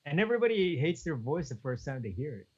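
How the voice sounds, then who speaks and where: A young man, co-hosting a podcast in relaxed, chuckling casual chat. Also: fairly high pitch, fast talker, breathy edge. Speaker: podcast co-host. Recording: dull lo-fi podcast audio.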